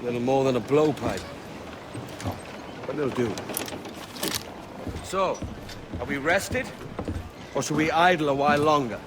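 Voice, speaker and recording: A male actor plays a brash young pirate captain in a game cutscene. He speaks with a Welsh accent at a middling pitch, full of cocky, salty banter. Clear sea and vessel noise sits behind the voice. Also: measured pace, pauses often, natural voice. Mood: playful banter. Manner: wry, bantering, seafaring turns of phrase. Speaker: ship's captain. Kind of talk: video game cutscene acting.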